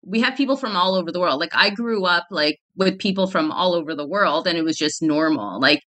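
Chatty woman, bright tone. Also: fairly low pitch, brisk. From Canada. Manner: chatty, many "like" fillers, laughs often. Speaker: guest, fitness founder. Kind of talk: casual podcast conversation.

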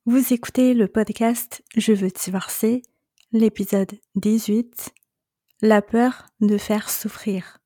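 A middle-aged woman hosts a solo coaching podcast in a calm, reassuring tone. Her voice is mellow and warm, and she takes her time over each phrase. The sound is dry and close, with no room around it. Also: fairly high pitch, slow pace, no echo. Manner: calm, reassuring, speaks directly to the listener. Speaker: separation coach and podcast host.

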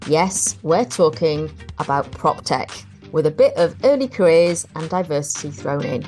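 Welsh woman hosting, bright and animated. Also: low-pitched, normal speed, faint music behind. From North Wales. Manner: warm, effusive and animated. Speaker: podcast host. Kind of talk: chatty podcast interview.

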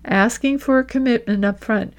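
A woman's voice: fairly high pitch, moderate pace. Woman coach explaining in an instructional style. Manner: explanatory, emphatic, addresses listener directly. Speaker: career coach and podcast host. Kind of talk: instructional coaching with role-played example dialogue.

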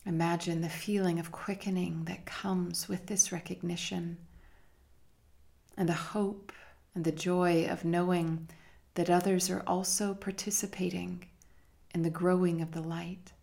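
A woman leading a guided meditation in a measured, hushed manner with long pauses between phrases. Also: on the low side, flat delivery, vocal fry. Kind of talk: slow guided meditation narration.

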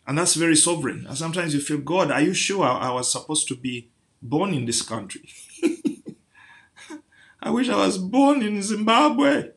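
A man's voice: a little high, big pitch swings, measured pace, gravelly. A man preaching a sermon, building through rhetorical questions to emphatic peaks.

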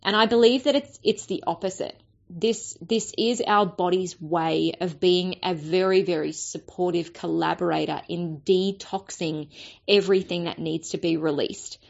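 A woman with an Australian accent, hoarse and raspy from a cold with a blocked nose, hosting a solo podcast episode in an earnest way, often repeating words as she finds her thought. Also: on the low side, steady tone, moderate pace, lo-fi sound. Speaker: podcast host and spiritual business coach.

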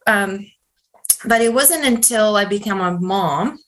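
A middle-aged woman from Russia, a neurofeedback practitioner, answering interview questions in an earnest, measured way with many pauses. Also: medium pitch, low-quality recording.

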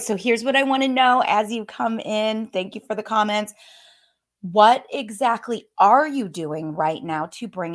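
An upbeat woman talks live to her audience of coaches, chatty and often laughing. Her voice is slightly hoarse, at a middling pitch for a woman. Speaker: fitness business coach. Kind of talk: live-streamed coaching talk.

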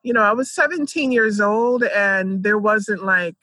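Woman in her 30s telling personal story casually, really bright high voice, dry sound. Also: a little slow, no echo. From Jamaica and Philadelphia, United States. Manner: frequent "like" and "you know". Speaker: podcast guest telling her life story.

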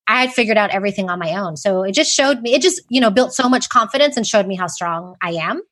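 A woman in her forties from Texas, a podcast guest talking in an animated, quick, chatty way over a remote call. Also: medium pitch. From Texas, USA. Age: 40s. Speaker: interview guest. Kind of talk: casual interview chat.

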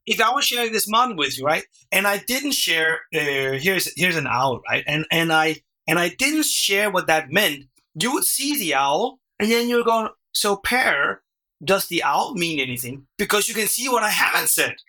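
A man whose first language is Danish, a workshop facilitator explaining his method as a podcast guest, animated and full of restarts and "right?". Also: high-pitched, normal speed. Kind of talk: conversational interview.

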